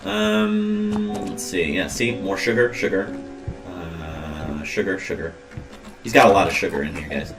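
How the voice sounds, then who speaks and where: A man chatting through his gameplay in a lowish voice, rambling and joking as he goes. He sits a little off the mic, and clear game music runs behind him. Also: sing-song, unhurried, distant mic. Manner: rambling and jokey, lots of ums and uhs. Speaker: let's play host. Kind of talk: casual gameplay commentary.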